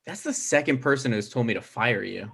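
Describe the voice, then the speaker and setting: A man playing a dry, hesitant young political candidate in a comedy table read, given to deadpan asides. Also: medium pitch, brisk, vocal fry, breathy edge, full character voice.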